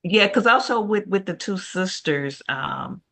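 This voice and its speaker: Bright, sharp-voiced woman in casual discussion. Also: mid-range voice, animated, a little slow. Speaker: actor in a script discussion.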